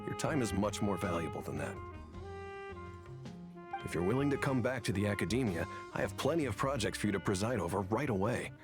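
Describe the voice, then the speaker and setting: An actor playing an earnest academy professor in a video game, measured, formal and coaxing. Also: male voice, lowish voice, expressive, music in the background, natural voice. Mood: earnest, persuasive. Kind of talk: scripted game dialogue.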